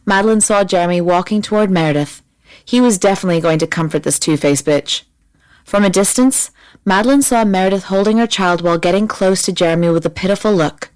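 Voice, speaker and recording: A woman reads as an audiobook narrator. Her voice has a middle pitch, and her delivery is measured and dramatic. The recording is very dry and close. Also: a little mellow, clear, resonant tone, dry recording, low-quality recording.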